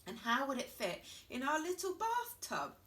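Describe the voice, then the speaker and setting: A woman's voice: high-pitched, wildly swooping pitch, moderate pace, fairly bright tone, distant mic, a little hiss or hum, voice pushed up, exaggerated voice. A woman voicing an anxious, easily upset mother hen in a children's story, exclaiming dramatically and fretfully. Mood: aghast.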